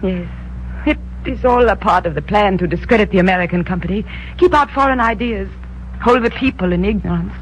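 An actress playing an earnest young female ruler of an eastern kingdom, speaking softly and formally in 1950s radio drama style. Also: mid-range voice, a little fast, lightly acted. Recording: dull old radio broadcast with hum.

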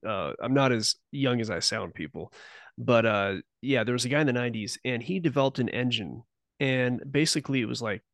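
Male podcast guest, gravelly, dry, meandering and speculative. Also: mid-range voice, fairly bright tone, no room sound. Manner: rambling, self-interrupting, speculative. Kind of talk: casual conversational interview.

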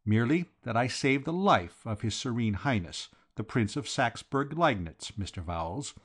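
Man playing a flustered, polite young gentleman. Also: mid-range voice, animated, normal speed, breathy edge. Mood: politely assured. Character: flustered young gentleman, defensive and meek under pressure.

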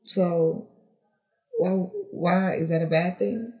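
A woman's voice: fairly low pitch, takes it slowly, mellow, echoey room. A woman in her thirties talking candidly as a podcast guest, chatty and casual, with lots of fillers and frequent laughs. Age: 30s. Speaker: podcast guest, reality TV personality. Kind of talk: casual interview chat.

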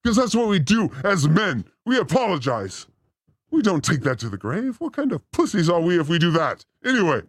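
Male comic host, theatrical and exclamatory, high-pitched, recorded very dry. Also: big pitch swings, moderate pace, dry recording. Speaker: host of a livestream show. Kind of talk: rambling comic monologue in a demon persona.

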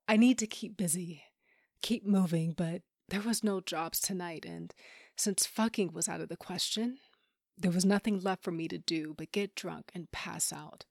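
A woman's voice: lowish voice, creaky, no room sound, clean studio sound, heavily acted. An actress playing a grieving, bitter widow who hunts monsters, telling her story in an intense audio drama. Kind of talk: first-person audio drama narration with acted scenes.

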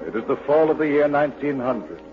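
A man's voice: a little high, animated, brisk. A man reading scripted, dramatic scene-setting narration in the style of 1940s radio. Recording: muffled, noisy 1940s radio recording.

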